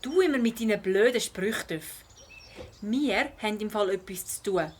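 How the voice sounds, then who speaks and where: Woman playing a lively Swiss German schoolgirl sleuth. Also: mid-range voice, moderate pace, slight background noise, lightly acted. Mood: annoyed. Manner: expressive, inquisitive. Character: curious, feisty schoolgirl, a cat, amateur detective. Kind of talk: Swiss children's audio drama.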